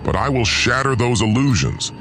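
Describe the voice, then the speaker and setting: A man's voice: medium pitch, very animated, moderate pace, a bit bright, soft background music, voice pushed up. A man playing an imperious, gloating alien lord in an audio drama, with a pompous, commanding and menacing delivery. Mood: gloating, grandiose. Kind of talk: first-person audio drama villain.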